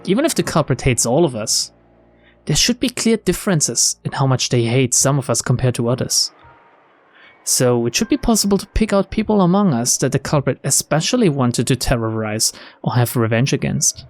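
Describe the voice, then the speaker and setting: A man's voice: mid-range voice, animated, moderate pace. A man hosting a game playthrough, reading the text aloud and adding casual commentary. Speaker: let's play host. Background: game music.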